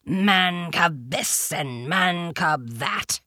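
Male reader as storybook tiger villain: scornful bully, slow, growling, sneering. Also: mid-range voice, expressive, sharp tone, dry recording, studio quality, full character voice. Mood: surly.

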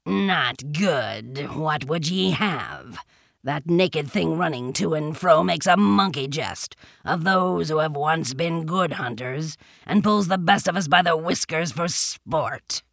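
Rumbling arrogant tiger played by a man. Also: expressive, slow pace, creaky, fairly bright tone, no room sound, clean studio sound, exaggerated voice. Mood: scornful. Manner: rumbling, growling, sneering. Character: arrogant, surly lame male tiger, scornful bully.

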